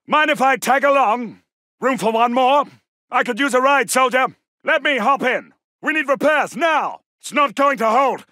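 An actor playing a brisk, gallant British Second World War soldier in a video game, with a clipped, commanding and formal manner. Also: male voice, extremely high pitch, sharp tone, dry recording, studio quality, heavily acted. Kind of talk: military game battle barks.

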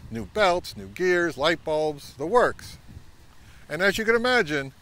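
A middle-aged man giving a measured, instructional talk to camera as a vlog host, recorded outdoors. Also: sits high, animated, a bit bright. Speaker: vintage audio channel host. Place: outdoors by a pond and on a wooded trail.

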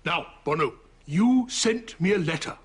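A husky, lively Santa Claus. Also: male voice, on the high side, measured pace, full character voice. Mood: kindly. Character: jolly old man dressed as Santa Claus. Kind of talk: sitcom acting.

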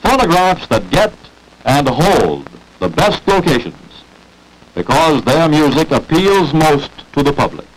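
Lively 1940s American film narrator, man. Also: on the high side, unhurried, poor audio. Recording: old 1940s film soundtrack.

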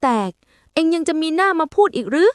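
A woman's voice: extremely high pitch, expressive, brisk, no room sound, clean studio sound, voice pushed up. A woman voicing a bitter, scolding old village woman with a quavering voice in a storybook tale. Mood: bitter, accusing.

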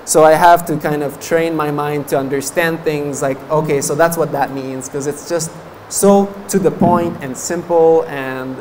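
A man's voice: on the high side, steady tone, a little slow, few pauses, a little mellow, a little hiss or hum, poor audio. A man in his thirties, a Buddhist monk whose first language is French, giving a chatty dharma talk at a meditation course, laughing often between fillers. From French-speaking Canada. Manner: gestures with his hands while talking. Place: meditation hall, seated before a banner. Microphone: stand mic in front of him.

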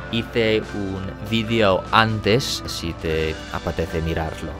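A young man with a low, deep voice, an English speaker working carefully through his Spanish with plenty of pauses and fillers. He talks slowly and patiently, like a home-studio tutorial host at his desk mic, with faint music underneath. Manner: unhurried, hesitant non-native Spanish with fillers. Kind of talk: casual tutorial to camera. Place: small home studio, indoors. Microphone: desk microphone in front.

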